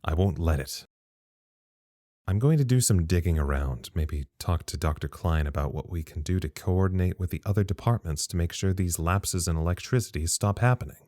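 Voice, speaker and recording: A male actor as a very low-voiced psychologist at a secret underground facility, recorded close and dry. He is weary and stubborn, musing with wry, dry humor in the manner of a reflective audio drama. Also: normal speed, dark tone, no room sound, close to an everyday voice. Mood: resolute.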